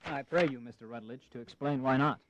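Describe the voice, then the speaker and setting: A man's voice: mid-range voice, a little fast, muffled recording, background noise, close to an everyday voice. An actor playing a principled, impassioned colonial statesman in a historical audio drama, with earnest, formal oratory. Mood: indignant.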